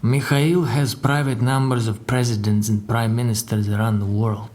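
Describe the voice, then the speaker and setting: An actor playing a proud, solemn Russian secret service agent in an audio drama, speaking gravely and boastfully in deliberate, dramatic lists. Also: male voice, medium pitch, level tone, darkish tone, close to an everyday voice. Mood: grave, impressed.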